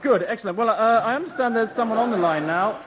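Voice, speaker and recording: Guy playing a bumbling radio call-in host, lots of uhs and ums, kinda high voice. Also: fast talker, crowd noise, lightly acted. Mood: flustered. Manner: hesitant, stumbling, full of ums and uhs. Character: hapless male radio phone-in host, flustered. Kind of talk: radio comedy sketch.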